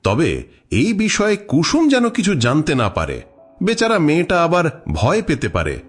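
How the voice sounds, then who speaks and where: Husky, wildly swooping village exorcist father played by a man. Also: fairly high pitch, huge pitch swings, unhurried, soft background music, exaggerated voice. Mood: reassuring, protective. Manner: theatrical, emphatic. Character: village exorcist father, bold, protective and dramatic. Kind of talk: dramatised horror cartoon dialogue.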